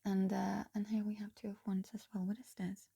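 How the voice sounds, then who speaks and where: A woman with a hoarse voice doing a casual tarot reading, talking slowly and haltingly with frequent pauses and restarts. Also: mid-range voice, little pitch movement, no room sound, clean studio sound. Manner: slow, halting, soft-spoken with frequent ums and restarts. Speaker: tarot reader.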